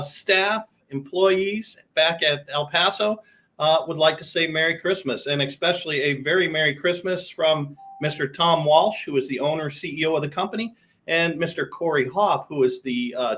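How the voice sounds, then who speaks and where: A man from Hawaii joins a chat show as a guest. His voice is bright and somewhat high, and his manner is upbeat and friendly. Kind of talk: casual chat.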